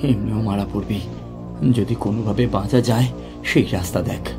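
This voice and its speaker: A man's voice: lowish voice, steady tone, moderate pace, music in the background, close to an everyday voice. A man playing an impatient, stubborn young man in an audio horror story, brusque and dismissive yet determined.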